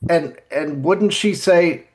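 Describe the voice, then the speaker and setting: A man hosting a casual group podcast chat, talking in a halting way and often repeating his words. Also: fairly high pitch, a little mellow. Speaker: podcast host.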